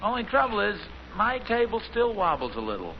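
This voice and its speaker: A man's voice: high-pitched, wildly swooping pitch, a little fast, creaky, heavily acted. An actor playing a mild, flustered suburban husband and father in a 1950s radio sitcom, stammering and defensively proud. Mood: wry, defensive. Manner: stammering, hesitant. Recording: muffled, hissy 1950s radio recording.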